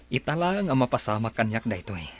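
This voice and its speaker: A man's voice: medium pitch, big pitch swings, fast, slight background noise, natural voice. A man playing an earnest, nervous boss in an audio drama, speaking hesitantly. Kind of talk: Filipino audio drama acting.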